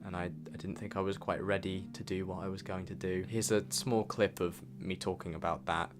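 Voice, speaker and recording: A man's voice: sits low, little pitch movement, fairly quick. A young man reading a scripted voice-over that looks back on his own year, in the manner of a personal vlog. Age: young adult. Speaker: vlogger narrating own year in review. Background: background music.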